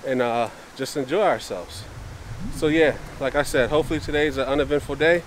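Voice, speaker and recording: A man's voice: mid-range voice, fairly quick, slight background noise, rough recording. An American man, a vlogger, chatting casually to the camera in a laid-back, repetitive way. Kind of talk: casual vlog chat to camera. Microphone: handheld camera at arm's length.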